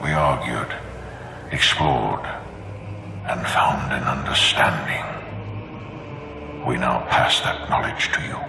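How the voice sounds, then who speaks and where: Super deep gravelly ghost warlord for a game, stern and solemn, big echoey reverb. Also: male voice, steady tone, full character voice. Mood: grave, resolute. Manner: grave, declamatory, deliberate. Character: solemn, commanding ghost of a fallen dark lord. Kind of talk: dramatic video game acting. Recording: reverberant hall echo, music underneath.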